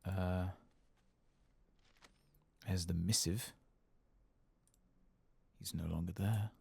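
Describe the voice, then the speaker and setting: Very deep, creaky, soft-spoken gaming man. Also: fairly even intonation, extremely slow, no echo. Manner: casual, rambling, wry, sweary. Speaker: host of a game playthrough channel. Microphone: close mic.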